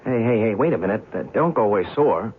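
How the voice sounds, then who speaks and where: An actor playing a young, skeptical newspaper writer, chatty and curious, in an old-time radio drama. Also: male voice, fairly low pitch, animated, fast talker, close to an everyday voice. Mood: eager, puzzled. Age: young adult. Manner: hesitant, stammering in dialogue. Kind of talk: old-time radio drama acting, first-person narration and dialogue. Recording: tinny, band-limited old radio recording, faint noise.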